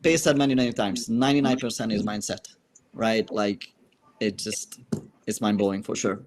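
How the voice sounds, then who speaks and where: A man from Hungary with a Hungarian accent, a podcast guest talking casually in a halting way, full of fillers and restarts. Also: lowish voice, fairly even intonation, noisy background. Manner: halting, many fillers and restarts, leaning on "like" and "right?". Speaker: guest, real estate investor.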